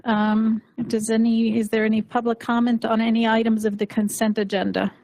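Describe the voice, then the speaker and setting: A woman's voice: on the high side, level tone, normal speed, darkish tone, no room sound. A woman chairing a council meeting, reading agenda items steadily, with hesitant asides.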